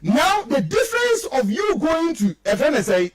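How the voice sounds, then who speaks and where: Man, Ghanaian accent, native Twi speaker, very high voice, swooping intonation, emphatic and accusatory, political talk radio. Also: huge pitch swings, normal speed, dry recording. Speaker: radio commentator. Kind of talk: political talk radio commentary, mixing local language and English.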